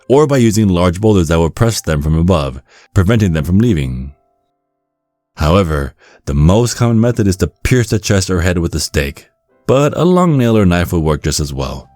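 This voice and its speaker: A man giving a scripted ASMR narration in a measured, instructional storytelling manner. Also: low-pitched, sing-song, darkish tone, dry recording, faint music behind. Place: close, dry recording with music behind.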